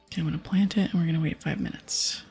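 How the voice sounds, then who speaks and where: A woman hosts a gameplay session in ASMR style. The voice is soft and very breathy, with heavy creak, and music plays behind it. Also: sits low, fast. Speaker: ASMR gaming host.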